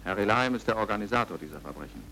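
An actor playing a stern, commanding British military police major in a German radio crime drama, with clipped, authoritative delivery. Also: male voice, fairly low pitch, expressive, rapid-fire, bright, forceful tone, slight background noise. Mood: grave, bitter.

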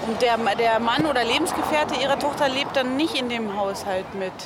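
A woman's voice: a little high, fairly quick, very noisy. A woman in casual conversation on location, asking questions and responding informally. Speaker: reporter following a banknote.

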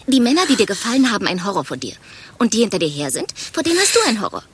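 Animated, brash secretary. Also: female voice, mid-range voice, rapid-fire, slightly rough. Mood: teasing, bawdy. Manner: gossipy, rambling, exclamatory. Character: brash, chatty, bawdy female office secretary. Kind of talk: German radio play acting.

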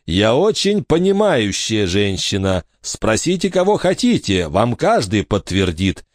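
A man's voice: on the low side, big pitch swings, measured pace, dry recording, clean studio sound. A man voicing a stern, pompous middle-aged lady who lectures children with fussy disdain. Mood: haughty. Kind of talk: audiobook character voice.

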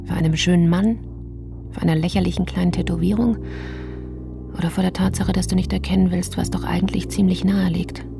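An actress playing a sharp female prosecutor in a radio play, probing and sarcastic as she argues with herself. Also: fairly low pitch, steady tone, brisk, gravelly. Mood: probing, agitated. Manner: probing, sarcastic inner monologue. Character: sharp, self-questioning female public prosecutor, adult. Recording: music behind the voice.

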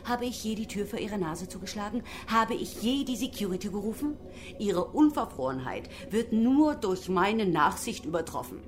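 An actress playing a stern job centre director, formal and reproachful in a bureaucratic way, in a German radio drama. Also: female voice, mid-range voice, animated, normal speed, background noise, natural voice.

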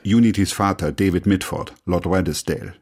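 A man's voice: low voice, moderate pace, gravelly, a little mellow. A man delivering scripted documentary narration in short, factual announcements. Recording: music behind the voice. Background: music.

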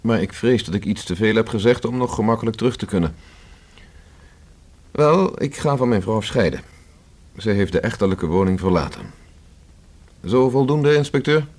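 An actor in a Dutch radio play playing a guarded, haughty gentleman under police questioning, speaking in a stiff, precise, formal way. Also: fairly low pitch, lively intonation, moderate pace, pauses often. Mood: stiff, reluctant.

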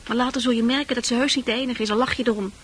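A woman's voice: a little high, steady tone, very fast, fairly bright tone, a little hiss or hum, close to an everyday voice. An actress in a Dutch radio play, playing a worldly, coaxing woman of easy virtue with a chatty, knowing manner. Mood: consoling. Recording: radio play with music behind.

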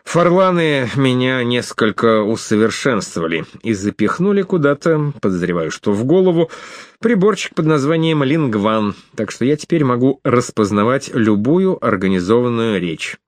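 A man reading the lines of a calm, dryly humorous man in an audiobook. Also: medium pitch, moderate pace, no room sound, studio quality, natural voice. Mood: wry.

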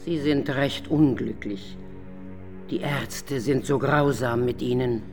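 A woman's voice: very deep voice, slow pace, music in the background. A woman playing Night as a weary, imperious, grumbling female spirit in a theatrical radio drama style, sighing and at times whispering. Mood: grudging. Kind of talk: German radio drama acting.

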